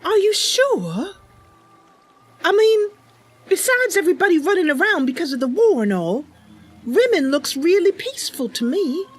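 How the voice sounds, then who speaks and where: A woman voicing an earnest, naive, excitable young female wood elf adventurer in a game, girlish and wide-eyed. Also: extremely high pitch, moderate pace, faint music behind, voice pushed up. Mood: puzzled, innocent. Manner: girlish, wide-eyed, stumbles over big words.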